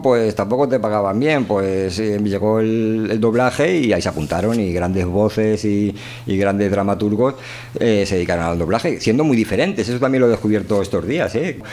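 A man's voice: on the low side, darkish tone. A man with a Castilian Spanish accent hosting a radio show in an informal, colloquial, rambling way. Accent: Castilian Spanish, Spain. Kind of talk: informal, chatty monologue and discussion.

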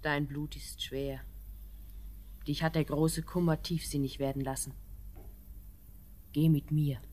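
Low-voiced, devoted young woman. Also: flat delivery, a little mellow. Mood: concerned. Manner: theatrical, measured verse delivery. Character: devoted, proud young woman pleading with troubled lover. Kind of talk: classic German radio drama acting.

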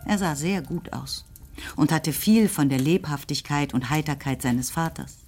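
Woman narrator, lowish voice, measured ironic reading, music underneath. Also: a little mellow. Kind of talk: scripted literary narration.

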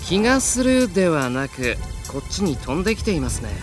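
Audio drama casting: sadistic prankster fairy, high voice, hugely varied pitch, polite yet mocking delivery. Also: male voice, big pitch swings, brisk, over music. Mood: calm, polite. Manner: polite speech with mocking amusement. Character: mischievous, sadistic male fairy, gleefully amused by humans. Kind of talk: anime-style audio drama acting.

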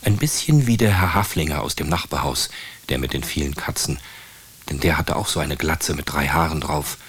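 Deep, husky male radio drama narrator. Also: lively intonation, normal speed.

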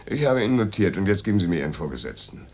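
A low-pitched, commanding man of music, imperious and sardonic. His delivery carries dry menace. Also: sing-song, fast talker, darkish tone. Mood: imperious, threatening. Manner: commanding, dryly threatening. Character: imperious, sardonic male conductor giving orders. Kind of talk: German radio drama acting.

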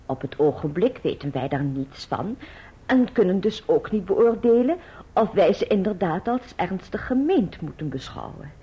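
Stiff proper spinster aunt in a radio play, very animated pitch, ceremonious, muffled old recording. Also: female voice, moderate pace, husky, heavily acted. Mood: prim, businesslike. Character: prim, formal maiden aunt, strict about propriety. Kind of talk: radio drama acting.

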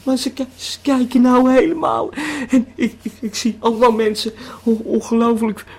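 Man acting a husky, stammering, tripping holidaymaker. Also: extremely high pitch, flat delivery, exaggerated voice. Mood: elated, dazed. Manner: halting, stammering, repeating words. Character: giggling, uninhibited tripping holidaymaker in swimming trunks. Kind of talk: radio play monologue.

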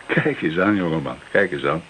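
An actor playing an avuncular older village pastor, paternal and knowing, in a Dutch radio drama. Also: male voice, low voice, big pitch swings, normal speed, a bit bright, a little hiss or hum, low-quality recording. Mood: reassuring, knowing.

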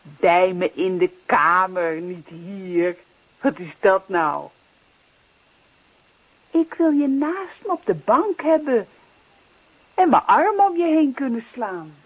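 An actress playing a middle-aged wife in a naturalistic radio play, teasing and reproachful yet affectionate. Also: female voice, mid-range voice, animated, slow, pauses often, slightly rough. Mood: tender, coaxing. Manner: chiding, teasing, coaxing. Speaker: actor. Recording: dull, muffled radio play recording.

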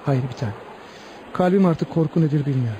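Casting: male actor as earnest condemned young hero, brave and heartfelt, slightly high warm voice, radio theatre. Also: expressive, normal speed, muffled recording, a little hiss or hum, close to an everyday voice. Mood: tender, resolute. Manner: solemn, heartfelt.

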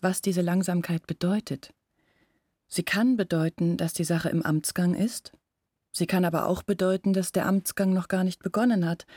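A brooding young village woman in a literary radio play. Her voice sits rather low and is husky, recorded close and dry. She confides earnestly and anxiously, as if to one trusted listener. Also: darkish tone, no room sound. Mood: earnest, troubled. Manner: intimate, brooding, earnest explaining. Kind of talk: literary radio drama acting.

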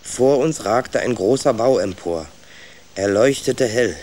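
A man's voice: medium pitch, slow pace, darkish tone, soft background music, close to an everyday voice. A young man playing an adventurous, stubborn young rat who tells his own story in a children's radio play. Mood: curious. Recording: clear ambient effects behind.

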